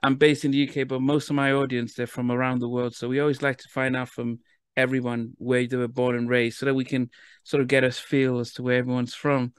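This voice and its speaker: A man from the UK hosting an interview, talking in a halting way with lots of restarts. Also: medium pitch, level tone, clear, resonant tone, no echo, studio quality. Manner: halting, restarts often, laughs easily. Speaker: interview host. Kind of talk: casual interview chat.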